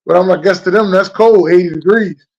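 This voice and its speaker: A man's voice: sits high, fairly quick, darkish tone, lo-fi sound. An American man hosting a casual podcast interview, speaking in slangy, laid-back phrases and often repeating himself. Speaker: podcast host interviewing a guest.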